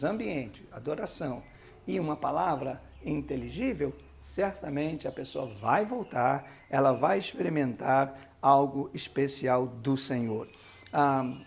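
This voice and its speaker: A man's voice: a little high, gravelly. A Brazilian man speaking as a pastor teaching a leadership course, in an emphatic, didactic yet conversational way. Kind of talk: instructional video teaching with sermon-like exhortation.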